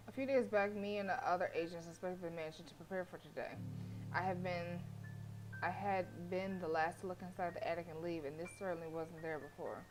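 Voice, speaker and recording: A woman's voice: lowish voice, poor audio. A woman streamer reading a horror visual novel aloud, breaking off into joking, swearing asides. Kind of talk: reading game text aloud with asides to chat.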